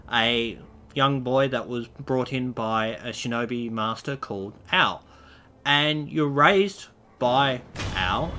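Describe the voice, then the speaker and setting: A man getting over a cold, sounding slightly nasal, gives a rambling, enthusiastic spoken game review. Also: medium pitch. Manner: rambling and enthusiastic, with loose asides.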